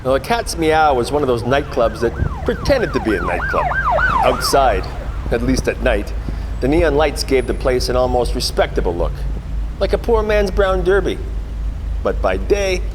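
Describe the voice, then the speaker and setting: A man's voice: medium pitch, background noise, lightly acted. Man playing a wry hardboiled detective, hall reverb. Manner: wry, colloquial, deadpan asides. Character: world-weary wisecracking 1940s private detective. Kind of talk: hardboiled noir radio-play narration and dialogue.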